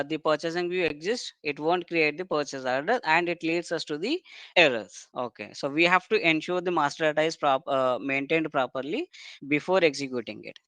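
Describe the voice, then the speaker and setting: A software trainer giving a step-by-step technical lecture in an explanatory way, often punctuating points with short checking tags. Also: mid-range voice, smooth, no room sound.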